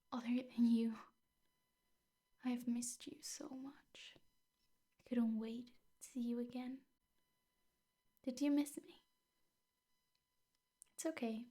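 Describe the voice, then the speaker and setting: A woman playing a possessive, lovesick teacher who dotes on her captive in a soft, often whispered ASMR roleplay, coaxing with hesitant pauses. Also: on the high side, slightly rough, exaggerated voice. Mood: lovesick, longing.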